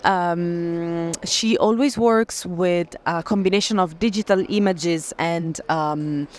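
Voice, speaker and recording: A woman presenting artworks in an explanatory way at an art fair, often halting to search for the right word. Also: fairly low pitch. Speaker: gallerist presenting an artist.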